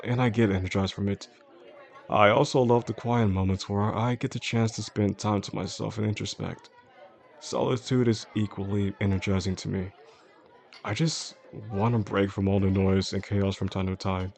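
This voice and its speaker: A man playing a charming, softly possessive boss in an intimate audio roleplay, speaking attentively and flirtatiously to the listener in an unhurried way. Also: fairly low pitch, steady tone, creaky. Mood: warm, attentive. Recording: faint restaurant crowd behind the voice.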